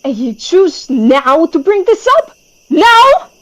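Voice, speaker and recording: A woman's voice: very high voice, big pitch swings, takes it slowly, heavily acted. A woman playing an anxious, driven young female leader in a webtoon fan dub, speaking with earnest, emphatic intensity. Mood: angry, indignant.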